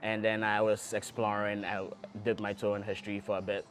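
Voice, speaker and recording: Brief: young male founder from Ghana, low voice, easygoing, faint music behind. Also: a little fast. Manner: relaxed, many ums, easygoing. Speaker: startup founder, guest. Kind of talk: casual interview answers. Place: restaurant table, by the sea.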